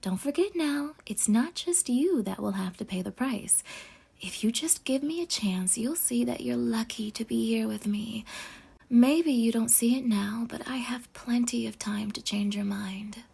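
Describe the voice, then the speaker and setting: A woman's voice: on the high side, a little slow, vocal fry, mellow, breathy edge, full character voice. A woman playing a possessive, sweetly menacing yandere girlfriend in an intimate ASMR roleplay, cooing tenderly and slipping into threatening near-whispers. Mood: sugary, controlling. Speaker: audio roleplay performer.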